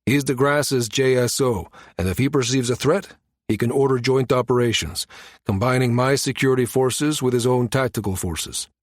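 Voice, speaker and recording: Man acting a firm, measured security chief. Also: medium pitch, no room sound, studio quality, close to an everyday voice. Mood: firm, explanatory. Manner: measured, matter-of-fact, authoritative. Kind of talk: audiobook character dialogue.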